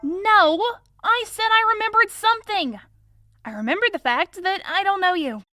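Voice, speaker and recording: A young woman playing an excitable, earnest young detective in a video game fan dub, with expressive, peppy delivery. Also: extremely high pitch, moderate pace, dry recording, soft background music, heavily acted. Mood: teasing, eager.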